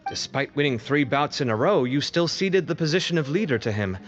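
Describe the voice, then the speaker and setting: A man's voice: on the high side, expressive, brisk, highs cut off, faint music behind, close to an everyday voice. An actor playing a friendly young male scholar in a video game, speaking in a casual, conversational way.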